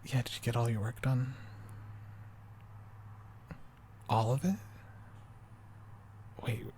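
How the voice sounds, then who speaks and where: A man playing an affectionate young boyfriend in an improvised bedtime roleplay, talking softly and haltingly, often sinking toward a whisper. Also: medium pitch, steady tone, slight background noise, lightly acted. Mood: affectionate, surprised. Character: affectionate, doting young boyfriend, soft and rambling. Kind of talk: improvised intimate roleplay audio, sleep aid.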